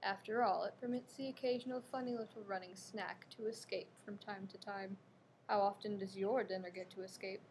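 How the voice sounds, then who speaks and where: Smug talking cat acted by a woman: cruelly playful, lofty, mid-pitched, staged reading with faint background noise. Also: fairly even intonation, darkish tone, natural voice, low-quality recording. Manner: drawn-out, lofty, philosophizing. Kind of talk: readers theater performance.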